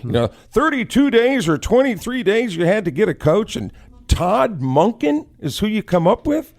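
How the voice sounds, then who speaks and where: A man co-hosting casual sports talk radio, animated and given to folksy exclamations. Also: high-pitched, moderate pace.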